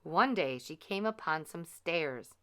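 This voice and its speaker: A woman narrates a children's picture book with expressive, measured drama. Her voice is fairly low, smooth and bright, and she takes her time over each line. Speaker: storyteller reading a picture book aloud.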